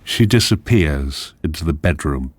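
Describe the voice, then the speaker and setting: A man narrating a radio drama, reading screenplay directions in a measured, deliberate manner. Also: rumbling low, expressive, slightly rough, exaggerated voice.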